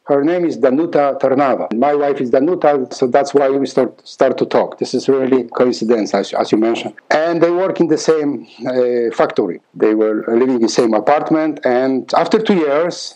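A man's voice: medium pitch, normal speed, hardly any pauses, warm tone, poor audio. A middle-aged man from Poland with a Polish accent, telling a long story in an interview, often repeating words and acting out dialogue. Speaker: conductor and music teacher.

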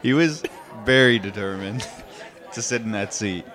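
A man with a bright, forceful voice co-hosts a live podcast. He banters casually and jokingly while a crowd murmurs faintly behind him. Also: on the low side, a little slow, faint music behind. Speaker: podcast co-host. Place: live podcast event with audience.

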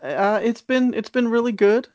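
Male co-host, 40s, dry and reflective, deadpan humor, close and slightly muffled. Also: very high-pitched, no echo. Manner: wry, measured, reflective. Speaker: podcast co-host. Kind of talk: casual chat. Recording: dry, slightly dull lo-fi sound.

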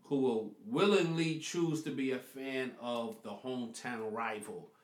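A man's voice: mid-range voice, a little slow. Emphatic New man, echoey room. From New York. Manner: emphatic, argumentative, repeats points. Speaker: podcast co-host. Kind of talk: casual podcast chat.

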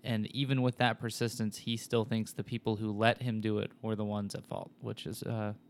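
A man co-hosting a podcast, talking quickly and joking in casual chat. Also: lowish voice, flat delivery, a little hiss or hum.

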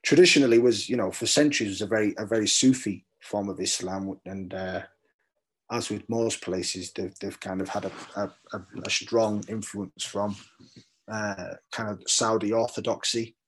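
A man's voice: on the low side, fairly even intonation, normal speed, husky. A man from London with a British accent, speaking as a poet in a reflective interview, thoughtful and hesitant, often repeating words as he searches for the right one. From London, England.

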